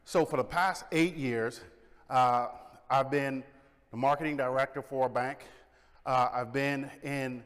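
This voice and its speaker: A middle-aged man from the Bahamas, giving an energetic conference presentation and asking the audience questions. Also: medium pitch, unhurried, clear, resonant tone. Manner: energetic, engages audience with questions and shows of hands. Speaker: conference speaker. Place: large conference hall. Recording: long hall reverb.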